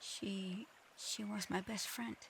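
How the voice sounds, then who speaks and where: A woman playing a gentle, remorseful shapeshifting dragon in human form for an ASMR roleplay, speaking softly and haltingly, often close to a whisper. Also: mid-range voice, fairly even intonation, a little hiss or hum, rough recording. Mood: sorrowful, remorseful. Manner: soft, breathy, often near-whispered, halting.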